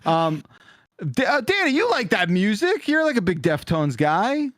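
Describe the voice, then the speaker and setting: Higher-voiced man hosting, dry sound. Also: sing-song, moderate pace, a bit bright, dry recording. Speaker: podcast host. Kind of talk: casual panel chat.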